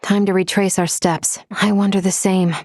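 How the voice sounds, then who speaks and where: A woman voicing a polite, analytical female android in a video game, with formal, measured diction and a caring, fussy manner. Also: medium pitch, flat delivery, a little mellow, no echo, clean studio sound, natural voice. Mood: composed, focused.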